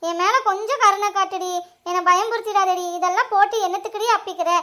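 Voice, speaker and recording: This is a fussing middle-aged mother who nags and teases her grown children without a pause. Her voice sits very high and bright, and she talks quickly, played by a woman in a comedy skit. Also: steady tone. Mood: teasing. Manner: scolding, teasing, fast. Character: nagging middle-aged mother, sharp-tongued.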